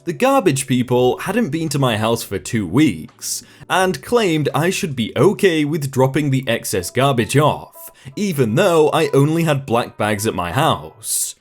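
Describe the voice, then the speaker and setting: A man's voice: mid-range voice, big pitch swings, unhurried, faint music behind. A man reading online stories aloud in a scripted narration style, adding short comments between them. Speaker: narrator reading reddit posts.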